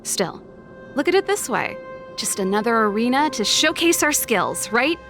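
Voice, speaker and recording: A woman's voice: fairly high pitch, big pitch swings, moderate pace, a bit bright. Confident, showy battle-ready woman. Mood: determined, showy. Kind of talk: video game voice acting. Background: game music.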